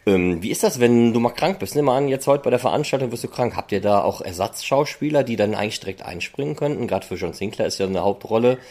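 A man's voice: medium pitch, lively intonation, fast, few pauses, a little mellow. A man hosting a podcast, asking questions in a casual, conversational way. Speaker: podcast host, interviewer. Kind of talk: casual interview chat.

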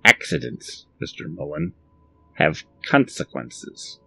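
A man voicing a small, sinister formal gentleman in an audiobook, measured and menacing. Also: a bass voice, big pitch swings, gravelly, soft background music, exaggerated voice. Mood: cold menace. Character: Mister Contragust, little sinister formal gentleman, cold and exacting. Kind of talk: audiobook character acting.

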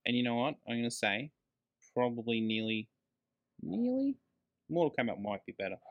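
An Australian man with a medium-pitched voice co-hosts a casual podcast about films. He talks like a bloke among mates, stressing his points and swearing freely. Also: expressive, moderate pace, dull audio. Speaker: podcast co-host. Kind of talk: casual film podcast chat.

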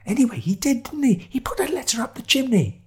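A man's voice: very high-pitched, big pitch swings, fairly quick, voice pushed up. A man voicing a jumpy, argumentative sidekick in an audio story, wry and colloquial. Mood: eager. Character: jumpy, argumentative narrator hero, Shiloh's sidekick.

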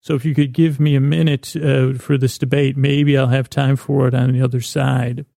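A man hosting a podcast with a rambling, whimsical bedtime monologue, in a creaky voice, meandering and halting as he repeats and doubles his words. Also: medium pitch, flat delivery, mellow, no room sound, clean studio sound. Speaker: podcast host.

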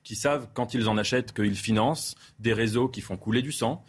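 A man's voice: lowish voice, brisk, husky. A man speaking as a guest in a studio debate, emphatic, often restarting his phrases. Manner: emphatic, with many restarts and hesitations. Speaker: panel guest.

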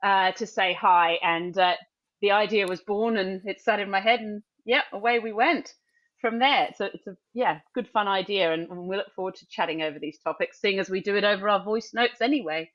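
A young Welsh woman co-hosts a podcast in a cheerful, easy way, laughing often as she talks. Her recording sounds a little muffled and lo-fi, and her pitch sits in the middle range. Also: fairly quick, a bit bright. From Wales. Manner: upbeat, laughs easily. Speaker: podcast co-host. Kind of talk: casual podcast chat.

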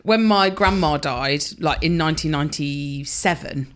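Lower-voiced woman, relaxed chat. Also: moderate pace. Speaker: podcast co-host. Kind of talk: casual pub-style chat.

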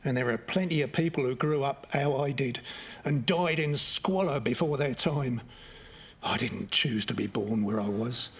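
A man acting an emotional, blunt working-class convict in an audio drama, quick to anger, with a husky voice. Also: mid-range voice. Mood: angry, defiant. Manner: emotional, blunt, colloquial speech.

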